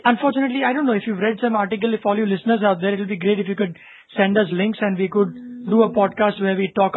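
A man co-hosting a podcast, discussing business news conversationally and posing rhetorical questions as he explains. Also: high-pitched. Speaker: podcast co-host. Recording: narrow, phone-like lo-fi sound.

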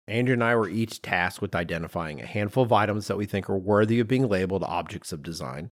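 A middle-aged man co-hosting a podcast in a conversational, animated and emphatic way, with some self-deprecation. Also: on the low side, dry recording, clean studio sound. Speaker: podcast co-host.

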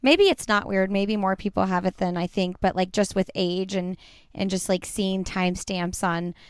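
A woman's voice: medium pitch, moderate pace, smooth, no echo, studio quality. A woman of thirty-seven hosting a podcast, chatting and asking her guest questions. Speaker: podcast host. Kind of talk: casual interview chat.

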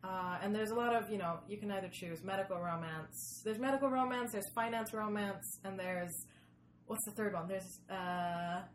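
A woman's voice: medium pitch, normal speed. A woman from Sweden, chatting casually as an interview guest, searching for words and repeating for emphasis. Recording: echoey room with background noise.

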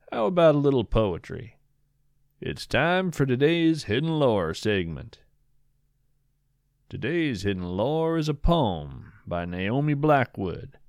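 A man's voice: on the low side, no room sound, studio quality. A man hosting a storytelling podcast with scripted, poetic narration, musing and savoring his words.

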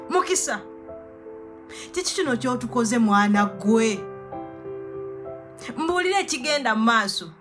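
A woman's voice: sits high, fairly bright tone. A woman playing an outraged mother in a radio play, scolding her grown daughter with reproachful questions. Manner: reproachful, interrogating, swooping exclamations.

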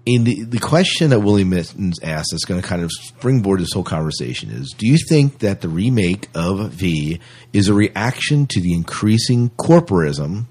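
A man with a low voice and a husky edge hosts a casual podcast. He talks loosely, circling back to repeat and rephrase as he goes. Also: very animated, moderate pace, darkish tone. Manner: rambling, repeats and rephrases himself. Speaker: podcast host.

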